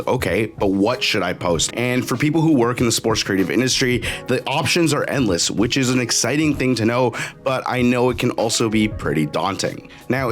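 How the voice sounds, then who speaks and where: A young man with a raspy voice from getting over the flu, talking viewers through a video editing tutorial in an upbeat, direct way. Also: on the low side. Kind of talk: scripted tutorial talk.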